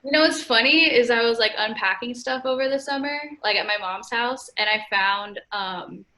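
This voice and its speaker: A young woman in her twenties from California talks as a podcast guest, chatty and upbeat, often dropping "like" as she goes. Her voice is bright and clear. The tone is casual and friendly, as in a relaxed conversation. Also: a little high, normal speed. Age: 20s. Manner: chatty, frequent "like" fillers, upbeat. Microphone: remote call.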